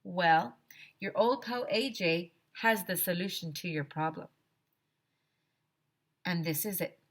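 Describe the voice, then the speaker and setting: A woman teacher reading a children's story aloud in an expressive, emphatic way. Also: fairly low pitch, slow pace.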